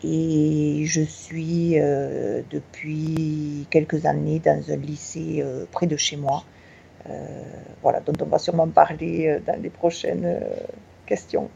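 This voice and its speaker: Slow gravelly woman with southwest French accent. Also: low-pitched, flat delivery, dark tone, muffled recording, slight background noise. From Tarn, southwest France. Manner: slow and hesitant, many filler pauses. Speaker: teacher at a Bavarian high school. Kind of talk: interview answer.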